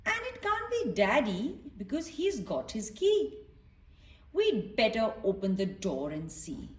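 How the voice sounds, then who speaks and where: Woman as storybook mother, hospitable, polite, slow high voice, echoey distant recording. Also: wildly swooping pitch. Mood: wondering. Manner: polite, thinking aloud. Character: Sophie's mummy, young girl's welcoming, hospitable mother. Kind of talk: children's storybook read-aloud. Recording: echoey room, distant mic.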